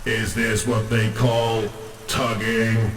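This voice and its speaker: A man's voice: lowish voice, level tone, takes it slowly, roomy sound, far from the mic, a little hiss or hum. A man playing a pompous, literal-minded combat robot who speaks in stilted, grandiose phrases in a comedic audio drama. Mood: earnest. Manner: stilted, grandiose, refers to itself as unit.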